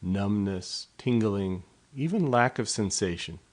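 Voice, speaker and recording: A man leading a guided body scan meditation in a slow, soft, unhurried way. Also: fairly low pitch, lively intonation.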